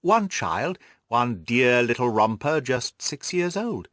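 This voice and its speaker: A man voicing a jovial, effusive, stout middle-aged gentleman in an audiobook, exclaiming and repeating his words genially. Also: mid-range voice, very animated, takes it slowly, dry recording, studio quality. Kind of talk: audiobook character voice.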